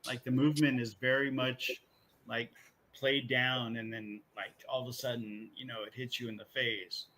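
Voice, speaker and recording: A man co-hosting a podcast, chatting casually and working through his points in a halting, rambling way with repeated phrases. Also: medium pitch, flat delivery, pushed and bright. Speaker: podcast co-host. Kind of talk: casual chat about video game movies.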